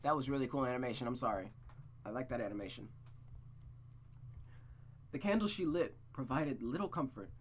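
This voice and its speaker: A man's voice: mid-range voice, expressive, fairly quick, long pauses, slight background noise. A man reading a visual novel aloud in a suspenseful storytelling style, with playful, casual asides.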